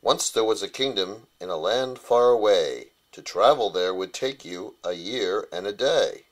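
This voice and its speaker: Low-voiced man reading a bedtime story. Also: expressive, measured pace, low-quality recording. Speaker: author reading his children's story. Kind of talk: rhyming bedtime storybook narration.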